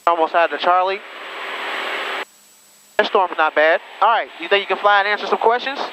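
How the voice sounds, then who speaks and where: A man's voice: sits high, brisk. A man coaching and chatting casually as a pilot over a cockpit headset, encouraging and informal. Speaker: pilot host coaching and interviewing a guest. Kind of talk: casual in-flight instruction and chat. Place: small airplane cockpit in flight. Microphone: aviation headset intercom. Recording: dull lo-fi cockpit radio audio, engine noise.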